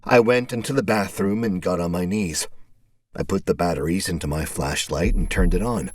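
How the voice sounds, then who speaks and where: A man's voice: low-pitched, normal speed, a little hiss or hum. A man reading a first-person story as a dramatic scripted narration. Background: faint music.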